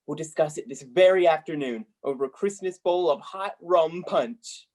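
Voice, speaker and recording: Give the voice of a reformed old miser played by a young performer in an old radio play. The old gentleman is giddy and generous, exclaiming in hearty, courtly phrases at a middling pitch. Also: moderate pace, lightly acted. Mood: joyful. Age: young adult. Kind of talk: 1930s radio play acting.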